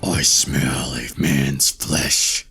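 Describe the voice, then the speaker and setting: A man voicing a gruff, ill-tempered devil in a storybook reading, slow and husky. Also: extremely low pitch, steady tone, pushed and bright, voice pushed down, heavily acted. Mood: suspicious. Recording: faint music behind.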